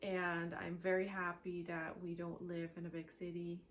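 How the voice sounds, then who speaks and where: A woman's voice: fairly low pitch, monotone, normal speed, a little hiss or hum. A woman from Switzerland whose first language is German, hosting a knitting podcast from home in a rambling, chatty way full of fillers. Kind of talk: casual chat to camera.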